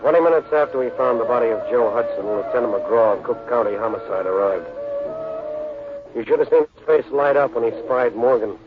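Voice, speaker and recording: An actor in a 1940s radio drama playing a wry, sardonic lawyer who also narrates the case. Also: male voice, mid-range voice, a little fast, over loud music, natural voice. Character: wisecracking male lawyer-detective. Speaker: narrator and lead. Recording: tinny old radio broadcast.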